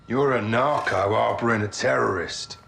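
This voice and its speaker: A stern male soldier in a war game. His lowish, gravelly voice is curt and disapproving, with a clear score behind it. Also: moderate pace, fairly bright tone, soft background music.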